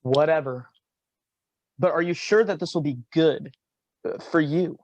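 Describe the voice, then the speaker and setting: A man reading a quiet, practical young manager in a virtual staged reading, with a dry, exasperated delivery. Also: fairly high pitch, a little slow, dull audio, natural voice. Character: quiet, practical male manager in his twenties. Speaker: also reads stage directions. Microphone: video call.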